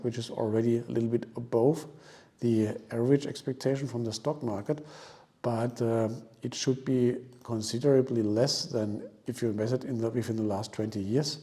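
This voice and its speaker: A man hosting an investing video, explaining informally and a little haltingly as he walks viewers through a screen. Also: medium pitch, mellow. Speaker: host of a stock investing channel. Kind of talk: informal explanatory stock analysis commentary.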